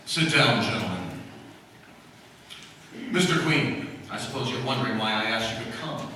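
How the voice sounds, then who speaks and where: A man's voice: medium pitch, lively intonation, normal speed, pushed and bright. An actor playing a grave, formal male government official in a live radio drama reading, heard from far off in an echoey hall. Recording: echoey hall, mic far off, faint noise.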